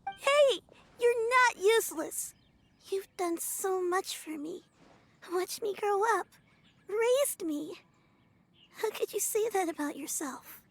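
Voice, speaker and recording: Young grandchild, game drama: extremely high, affectionate, earnest. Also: female voice, expressive, slow, pauses often, full character voice. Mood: upset, comforting. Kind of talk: video game voice acting.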